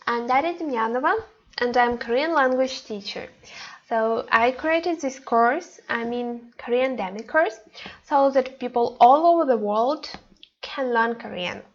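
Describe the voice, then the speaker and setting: Young ukrainian woman teaching over webcam, accent, lots of uh and um, a bit high, muffled audio. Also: unhurried. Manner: hesitant, many fillers and restarts. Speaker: Korean language teacher. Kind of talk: online course introduction. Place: indoors, webcam inset beside slides.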